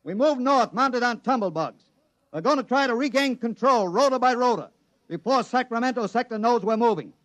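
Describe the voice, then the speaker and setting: An actor playing a brisk, commanding chief engineer with an American accent in an old-time radio drama, giving clipped orders. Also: male voice, very high-pitched, expressive, fairly bright tone, no room sound. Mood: rallying, stern. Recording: noisy old radio recording.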